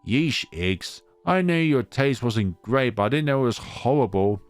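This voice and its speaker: Man reading as a sardonic, teasing young guy, animated pitch. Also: measured pace, faint music behind, lightly acted. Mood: mocking.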